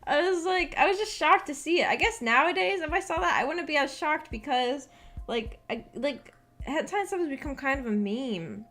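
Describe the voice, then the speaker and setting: A woman's voice: sits high, moderate pace. A young adult woman chatting casually as a livestream host, telling rambling anecdotes in a giggly, filler-heavy way. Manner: giggly, animated storytelling with frequent "like". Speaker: streamer hosting a visual novel book club. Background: background music.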